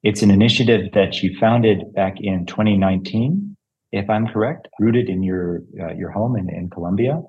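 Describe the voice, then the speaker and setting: A man hosting a podcast, introducing his guests and asking questions in a relaxed way with fillers. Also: lowish voice, moderate pace, muffled recording. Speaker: podcast host. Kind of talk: interview questions.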